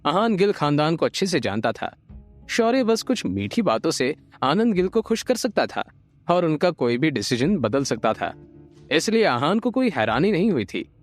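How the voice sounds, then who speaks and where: A man narrating an audio drama from a script, expressive and building suspense. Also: on the high side, moderate pace. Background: light background music.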